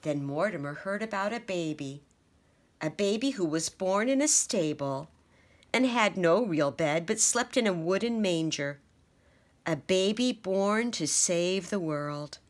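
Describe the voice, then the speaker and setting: Woman teacher reading aloud, lowish voice, very lively pitch, slow and gentle. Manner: expressive, gentle storytelling. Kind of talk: children's picture book read-aloud.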